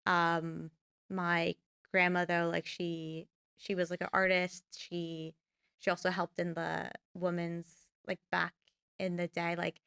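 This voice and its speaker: A woman's voice: fairly low pitch, monotone, takes it slowly, vocal fry, fairly bright tone, clear, resonant tone, dry recording, studio quality. A young woman, a filmmaker guest, talking casually in a podcast interview and rambling with many fillers. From United States. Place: podcast studio.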